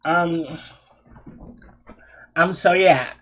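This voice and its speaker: A man's voice: a little high, drawn-out words, a bit bright. A man putting on a girly young woman's voice, hesitant and full of fillers. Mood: coy.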